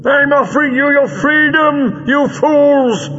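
Shrieking, croaking dying villain. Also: male voice, sits high, takes it slowly, a bit bright, long reverb tail, voice pushed up, exaggerated voice. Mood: furious threats. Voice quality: strained, croaking. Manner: snarling, screaming threats. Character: deformed, dying male villain, shrieking and vengeful. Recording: dull lo-fi audio with noise.

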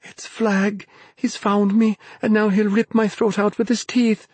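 A man playing a high, panicky, sleep-fuddled youth. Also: little pitch movement, measured pace, a little mellow, slightly rough, no echo. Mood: terrified. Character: frightened, sleep-fuddled young man, squeamish.

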